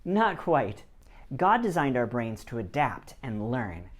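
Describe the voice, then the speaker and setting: A man presenting a scripted educational video in the first person, with an expressive, explanatory delivery. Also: mid-range voice, slow pace. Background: background music.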